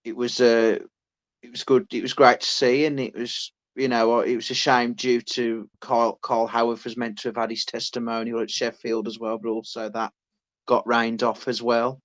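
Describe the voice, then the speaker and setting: A man's voice: mid-range voice, flat delivery, moderate pace. A man, co-hosting a podcast, who rambles in casual chat with many restarts. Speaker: podcast co-host.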